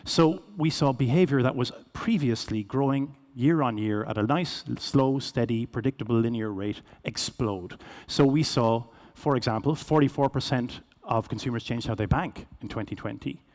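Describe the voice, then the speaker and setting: A middle-aged man with a Cork Irish accent, giving a joking, conversational conference keynote at a quick clip. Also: mid-range voice, darkish tone. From Ireland. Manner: fast-talking, joking, conversational. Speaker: keynote speaker. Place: conference stage.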